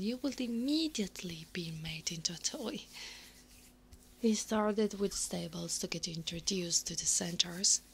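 Woman acting as older centaur woman, native Italian speaker, ASMR roleplay, slightly low pitch, breathy whispers, intimate, gentle teasing, faint background noise. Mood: fond, gentle. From Italy.

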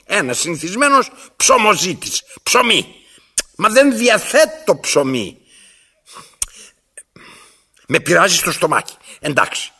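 Gravelly, imperious old man, wildly theatrical. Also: medium pitch, bright, forceful tone, heavily acted. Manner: pompous, declamatory, with self-interrupting asides. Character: imperious, theatrical old male tyrant telling his story. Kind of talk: radio theatre acting.

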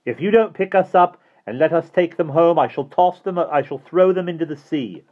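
A man performs a small boy from a storybook, pitched far above an adult man and burning with defiance. He speaks urgently and passionately, and the recording is muffled and narrow like a phone line. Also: moderate pace, natural voice. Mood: furious threat.

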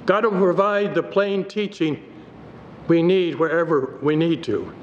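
An older man, a pastor, delivering a sermon at a pulpit in a church, reading scripture aloud from his notes in a measured way. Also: a little high. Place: church sanctuary. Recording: dull hall recording with faint noise.

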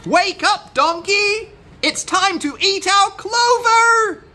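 A woman voicing an excitable, righteous rooster in a children's story, with emphatic delivery. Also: very high voice, very slow, voice pushed up, exaggerated voice. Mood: calling out.

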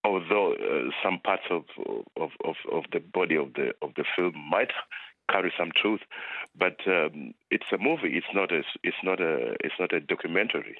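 Guy calling in to a radio show, really low voice, keeps restarting his sentences, thin phone line sound, dry. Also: expressive, no echo. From Uganda. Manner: halting, restarts his phrases. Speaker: radio discussion contributor. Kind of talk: call-in opinion.

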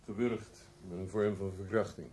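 An actor playing a detached police doctor in radio play dialogue, measured and clinical. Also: male voice, deep voice, steady tone, lightly acted. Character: detached, matter-of-fact male police doctor.

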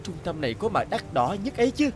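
Actor as a grumbling, dismissive young husband. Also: male voice, high voice, big pitch swings, fast, noisy background. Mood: incredulous. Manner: grumbling, condescending. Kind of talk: animated moral-tale voice acting.

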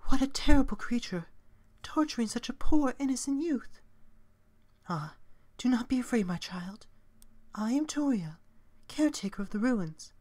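A woman's voice: on the high side, lively intonation, moderate pace, darkish tone. A woman voicing a motherly goat monster caretaker from a video game, formal, careful and maternal. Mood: gentle, protective. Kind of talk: video game character impression.

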